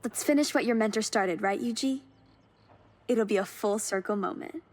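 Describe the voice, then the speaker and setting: A woman's voice: mid-range voice, moderate pace, a little mellow, natural voice. An actress playing a friendly, sincere young woman who is a car enthusiast in a video game. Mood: excited, friendly. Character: May, young enthusiastic, warm-hearted woman car hunter. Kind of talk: video game cutscene voice acting. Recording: engine and ambient noise behind. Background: vehicle sounds and ambience.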